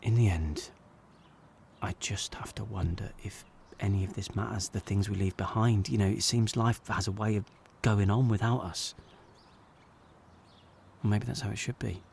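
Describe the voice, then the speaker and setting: A regretful, sincere man speaks in a low register, as narrator of an audio drama. He is reflective and halting, with plain words. There is audible noise around his voice. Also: steady tone, vocal fry, darkish tone. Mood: solemn, halting. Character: jobbing actor, regretful, sincere, self-doubting man. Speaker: actor narrating as lead character.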